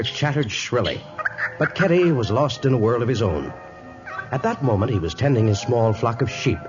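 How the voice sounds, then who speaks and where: Dramatic old-time radio narrator, man, over music. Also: medium pitch. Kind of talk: 1950s radio adventure narration, dramatic storytelling. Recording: muffled vintage radio recording.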